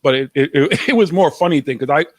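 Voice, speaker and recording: A man's voice: a little high, quick pace, no echo, clean studio sound. A man, hosting a livestream chat and swearing freely as he talks. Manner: swears freely, loose rambling talk while smoking. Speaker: livestream host. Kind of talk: casual chat.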